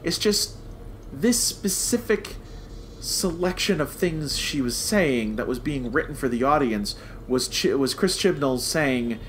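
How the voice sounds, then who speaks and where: A man's voice: mid-range voice, lively intonation, a little slow, fairly bright tone. Man chatting casually over background noise. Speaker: co-host of a TV review podcast. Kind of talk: casual review chat.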